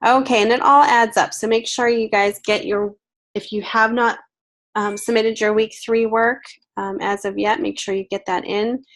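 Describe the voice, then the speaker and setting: A woman's voice: a little high, steady tone, moderate pace. Congested woman giving an online lecture. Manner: chatty, reassuring, many fillers and restarts. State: nasal from a head cold. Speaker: online course instructor. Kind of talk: recorded lecture.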